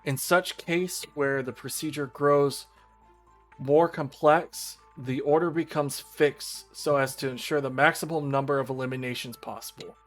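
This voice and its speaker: A man streaming a visual novel, reading the game text aloud in a formal, measured storytelling style. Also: medium pitch, fairly even intonation. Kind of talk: dramatic read-aloud narration of game text. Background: video game music.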